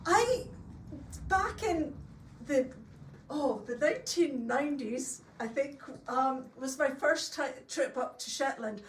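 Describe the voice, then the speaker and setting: High-voiced Scottish woman storyteller, echoey hall. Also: expressive, takes it slowly, slight background noise. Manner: chatty and homely, with folksy asides. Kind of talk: live storytelling to an audience. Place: longhouse hall with a live audience. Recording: echoey room, distant mic.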